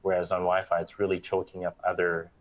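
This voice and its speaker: A man's voice: deep voice, monotone, a little fast. A middle-aged man on a panel in a factory studio, explaining steadily and often ending points with "right", on a lapel mic. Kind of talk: panel discussion. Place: bright open factory studio set.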